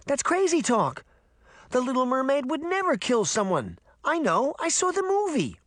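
Excitable storybook girl, done by a male reader: high, swooping, emphatic, stubbornly naive. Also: normal speed, dry recording, studio quality, exaggerated voice. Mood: disbelieving. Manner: exclaiming, emphatic. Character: excitable, indignant young girl, trusting and stubborn.

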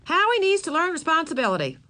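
A woman's voice: very high-pitched, wildly swooping pitch, fast, bright, forceful tone, pitched above the performer's own voice, heavily acted. A woman voicing a fussy mother who speaks in a chirpy, emphatic way.

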